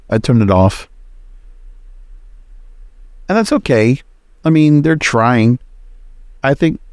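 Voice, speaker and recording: A man's voice: mid-range voice, a little mellow, no room sound, clean studio sound. A man hosting a podcast, giving informal, opinionated commentary on his own in a conversational, emphatic way. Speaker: podcast host.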